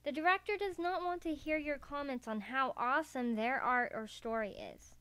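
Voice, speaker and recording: A woman's voice: high voice, normal speed, a bit bright, no echo. A woman narrating a scripted how-to tutorial in a careful, step-by-step way. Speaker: voice acting tutorial presenter. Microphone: USB karaoke microphone.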